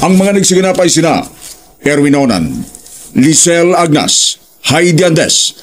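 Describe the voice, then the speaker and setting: A man's voice: a little high, lively intonation, a little slow. A Filipino man reading scripted horror story narration in a solemn, moralizing way.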